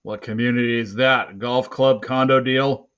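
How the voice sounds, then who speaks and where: A man's voice: medium pitch, steady tone, normal speed, fairly bright tone, smooth, natural voice. Man playing a blunt, skeptical cop, slightly muffled. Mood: gruff, skeptical. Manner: blunt, incredulous questioning. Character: gruff, skeptical male police officer, bemused and suspicious. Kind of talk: acted dialogue in an audiobook read-aloud. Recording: slightly muffled, dull recording.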